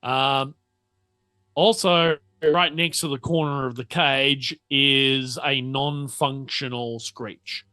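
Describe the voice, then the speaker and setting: A man narrating a tabletop game as game master, setting scenes in a halting, conversational way. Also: mid-range voice, steady tone, bright, forceful tone, dry recording.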